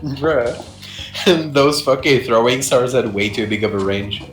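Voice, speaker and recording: A man's voice: mid-range voice, fairly even intonation, measured pace, roomy sound, soft background music. A young man chatting casually about old online games, rambling, swearing and laughing often. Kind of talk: casual gaming chat.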